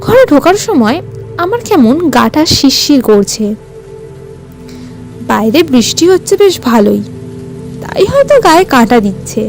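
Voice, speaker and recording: A woman narrating a first-person story in a suspenseful, expressive, theatrical way. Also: high voice, normal speed, long pauses. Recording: music bed under the voice.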